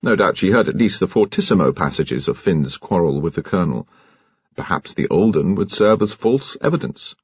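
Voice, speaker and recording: A man's voice: sits low, very animated, normal speed, a little mellow, breathy edge, no echo, dull audio. A British man reading a detective novel aloud as scripted, dramatic audiobook narration.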